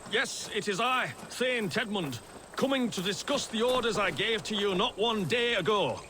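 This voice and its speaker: An actor playing a cowardly, wordy impostor lord in a video game, fussy and blustering with a nervous stutter. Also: male voice, sits high, normal speed, pushed and bright, a little hiss or hum. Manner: fussy, florid wording, blustering. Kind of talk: video game character acting.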